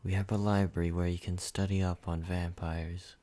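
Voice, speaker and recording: A man voicing a possessive yandere vampire in an ASMR roleplay, speaking softly and intimately, sometimes whispering. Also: low voice, level tone, close to an everyday voice. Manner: soft, intimate, slow and controlling, slipping into whispers. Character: possessive male yandere vampire, obsessive and menacing.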